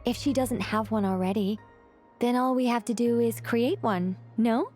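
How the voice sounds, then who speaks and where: A woman playing a wise, calm companion who speaks thoughtfully and gently guides others in a game cutscene. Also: fairly high pitch, normal speed, soft background music, close to an everyday voice. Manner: thoughtful, reflective, gently guiding.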